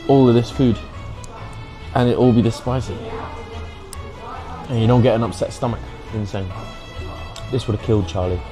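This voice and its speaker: A young man with a British accent and a low voice talks in a casual food-vlog style. He is chatty and informal, slipping Mandarin phrases into his English, with clear music playing behind him. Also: low-quality recording. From England. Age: young adult. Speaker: food vlogger.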